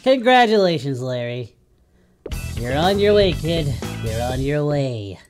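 A man's voice: a little high, very animated, unhurried, music in the background. A man doing playful casual game commentary as the host, in a cartoonish character voice, exclaiming and muttering. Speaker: gaming host.

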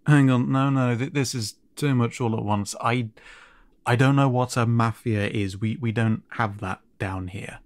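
A man playing a gentle, flustered siren in an audio roleplay, speaking haltingly and stammering with concern, often dropping to a breathy near-whisper. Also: medium pitch, steady tone, dry recording, close to an everyday voice. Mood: worried, baffled. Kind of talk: audio roleplay addressed to the listener.

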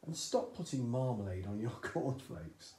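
A man doing a calm, chiding mother. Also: medium pitch, a little slow, close to an everyday voice. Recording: roomy echoey home recording.

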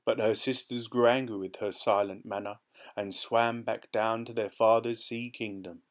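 A man calmly reading a fairy tale aloud from a storybook. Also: on the low side, moderate pace. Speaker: storybook reader. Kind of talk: gentle read-aloud storytelling.

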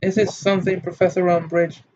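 A shy teenage schoolgirl, as a male narrator puts her on, asking in a hushed, hesitant voice. Her voice is raised well above the reader's own. The recording is dull with faint hiss. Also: high voice, little pitch movement, a little fast, voice pushed up, close to an everyday voice. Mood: timid. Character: timid, admiring teenage schoolgirl.

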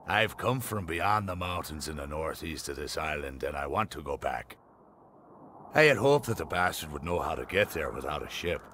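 An actor playing a gruff, scornful pirate captain with a curt, grumbling manner in a video game. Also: male voice, a bass voice, expressive, normal speed, fairly bright tone, a little hiss or hum. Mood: gruff, frustrated.

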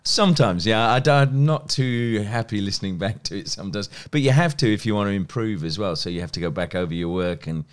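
A man's voice: on the low side, animated, brisk, few pauses, smooth. A man in his fifties from England's West Country with an educated English accent, telling stories conversationally as an interview guest. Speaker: guest, sports journalist and broadcaster. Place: small plain indoor room. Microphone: close microphone on a stand.

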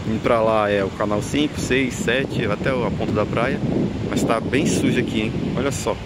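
A man's voice: mid-range voice, fairly even intonation, moderate pace. A man with a Brazilian accent giving unscripted walking vlog commentary, repeating himself in an admonishing way with frequent tag questions. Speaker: vlogger. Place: outdoors on an open windy beach. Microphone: handheld camera mic, behind the camera. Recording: loud wind noise on mic. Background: wind and outdoor ambience.

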